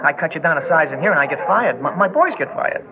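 An actor playing a swaggering, menacing club musician, sneering and sarcastic, in mid-century radio drama style. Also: male voice, fairly high pitch, animated, quick pace, crowd noise. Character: menacing, jealous, swaggering club pianist bully. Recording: noisy, tinny old radio recording.

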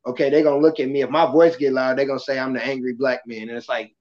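A passionate, loud-voiced man hosting a casual podcast talk, acting out quotes as he goes. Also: mid-range voice, little pitch movement, fast talker, lo-fi sound. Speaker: podcast host; kindergarten school worker.

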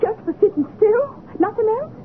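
A woman's voice: very high-pitched, expressive, normal speed. An actress playing a timid but cheeky poor young street girl, hesitant and deferential, in old radio drama. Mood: wary, grateful. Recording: tinny, noisy old radio recording.

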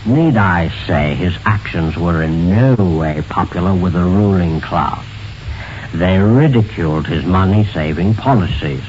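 A man giving a formal, measured scripted narration as a radio presenter. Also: deep voice, darkish tone. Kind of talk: scripted narration of a historical anecdote. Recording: dull, noisy vintage radio recording.

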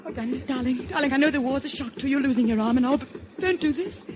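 An actress playing a distraught, imploring sweetheart in a 1940s radio melodrama. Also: female voice, high voice, fast talker, close to an everyday voice. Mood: pleading. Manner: urgent, imploring. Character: pleading sweetheart of a fisherman, woman, distraught. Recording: dull, noisy 1940s radio.

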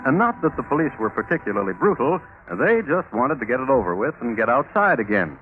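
Old tinny radio, music under it, guy as dry wry crime show narrator, deliberate, lots of inflection. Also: medium pitch, big pitch swings, lightly acted. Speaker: host and narrator. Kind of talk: scripted narration, 1950s radio drama. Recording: old narrowband radio recording, music behind.

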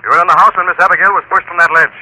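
An actor playing a stern, menacing, all-knowing invisible crime fighter in a classic radio drama. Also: male voice, high voice, fairly even intonation, racing, bright, forceful tone, a little hiss or hum, low-quality recording. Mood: accusing. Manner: accusing, ominous, commanding.

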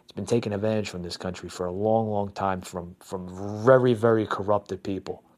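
Low-pitched American man, halting political podcaster. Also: steady tone, a little mellow. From New Jersey, United States. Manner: repetitive, halting, opinionated, addressing listeners as folks. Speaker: conservative podcast host. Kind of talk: unscripted political commentary.

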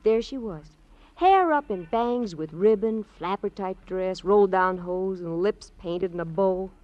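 An actress playing a chatty, grudging housewife in a 1950s radio crime drama, animated, wisecracking and given to mimicry. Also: female voice, medium pitch, measured pace, dry recording, close to an everyday voice. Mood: animated, indignant. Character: brassy, chatty, grudging housewife, gossipy former neighbor. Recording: dull, dry old radio recording.

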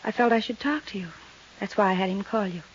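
Fragile, haunted widow in old radio drama actress. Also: female voice, mid-range voice, fast, close to an everyday voice. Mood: hesitant, ashamed. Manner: hesitant, wistful, drifting. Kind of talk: 1950s radio drama acting. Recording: dull, hissy 1950s radio recording.

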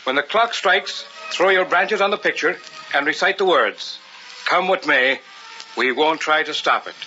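Commanding occult ritual leader, highish voice, very slow solemn delivery, old radio horror. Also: male voice. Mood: commanding, intense. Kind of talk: old-time radio horror drama acting. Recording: muffled old radio recording under loud music.

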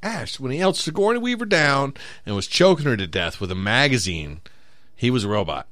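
A man's voice: medium pitch, animated, brisk, fairly bright tone, no room sound, clean studio sound. A man co-hosting a podcast in casual, joking banter, often trailing off mid-thought. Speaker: podcast co-host. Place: small studio room.

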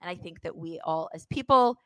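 A woman co-hosting a sports podcast in casual post-game chat, speaking in an animated, emphatic way. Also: lowish voice, brisk, no room sound, clean studio sound.

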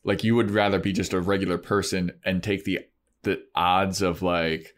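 A man with a low voice hosts a casual podcast. He talks in an informal, conversational way, as in an unscripted discussion of a show. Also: little pitch movement, moderate pace. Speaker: podcast host. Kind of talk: casual chat about a TV show.

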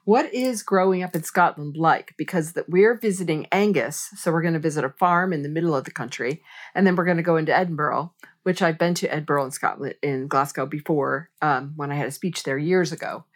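A woman's voice: low voice, a little fast. A middle-aged woman from the United States hosting a podcast interview, chatty and enthusiastic. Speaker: podcast host. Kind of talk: casual interview chat.

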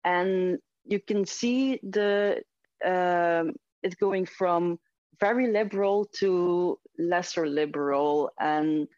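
A woman's voice: lowish voice, takes it slowly, darkish tone, no echo. A woman from Belgium, a Dutch speaker, answering interview questions in English, hesitant with many fillers. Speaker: interview guest. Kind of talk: casual video-call interview. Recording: dull lo-fi sound.

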